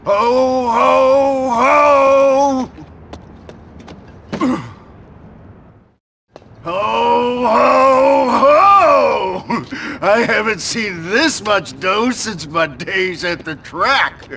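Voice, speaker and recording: Slow, high-pitched shifty Santa. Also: male voice, gravelly, bright, forceful tone, slight background noise, exaggerated voice. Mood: booming jolly, then gleeful, evasive. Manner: blustering, stammering when evasive. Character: shifty, greedy grown man in Santa suit, gambler. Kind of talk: video game cutscene acting.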